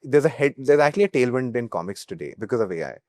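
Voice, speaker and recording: Young man, Indian accent, medium pitch, earnest founder interview talk, dry studio-like sound. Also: lively intonation, fast talker, darkish tone, dry recording. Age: young adult. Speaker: startup co-founder being interviewed. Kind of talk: business interview.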